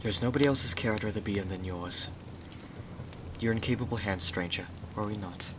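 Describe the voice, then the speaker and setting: Man voicing gallant husband, fairly deep, courteous, old-fashioned, soothing, ASMR roleplay, clear rain noise. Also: fairly quick, natural voice. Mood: gentle, courteous. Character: Jonathan, gallant protective husband, gentle rescuer. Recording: clearly noisy, rain behind the voice.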